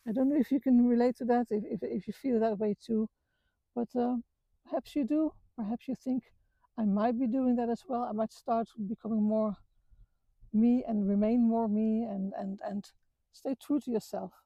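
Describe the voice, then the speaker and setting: A middle-aged woman from the Netherlands with a Dutch accent, talking earnestly about her life with many halting restarts. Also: fairly high pitch, fairly even intonation, no room sound, muffled recording. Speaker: vlogger. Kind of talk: reflective personal talk. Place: outdoors on a walk.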